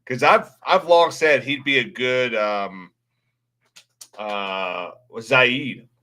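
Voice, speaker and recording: A man's voice: medium pitch, drawn-out words, bright, forceful tone. A man hosting a livestream, chatting casually in a rambling, blunt way. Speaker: livestream host. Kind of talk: casual livestream chat and commentary.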